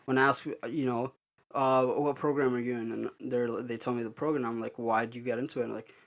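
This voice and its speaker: A young man co-hosting a podcast, making his points in an animated, opinionated way and laughing easily. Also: medium pitch, brisk. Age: young adult. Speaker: podcast co-host. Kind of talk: casual chat.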